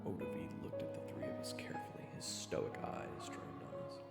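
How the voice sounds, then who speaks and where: A man's voice: a little fast, over loud music, close to an everyday voice. A man playing a self-deprecating young swordsman who narrates his own fantasy story, nervous and sometimes stammering. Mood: hushed storytelling. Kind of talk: first-person scripted narration and acting.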